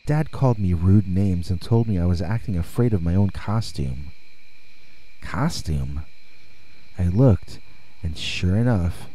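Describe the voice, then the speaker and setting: A man reading a scripted first-person scary story in a measured way with dramatic pauses and a husky voice. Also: low voice, warm tone, poor audio. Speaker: narrator and reader of scary stories.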